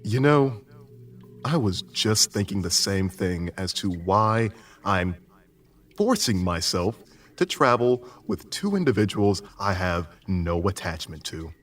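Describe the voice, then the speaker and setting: A man's voice: low-pitched, big pitch swings, takes it slowly, recorded from a distance, soft background music, voice pushed down, heavily acted. A man playing an irritable, condescending older father in a tabletop adventure, lecturing with exasperated sarcasm. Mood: scolding, bitter.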